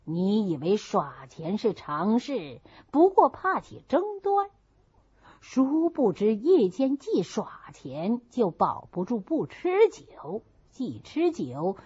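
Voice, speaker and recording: A woman's voice: a little high, warm tone, husky, no room sound, full character voice. A woman voicing a stern, worried old matriarch in an audiobook, grave and admonishing, in a muffled recording.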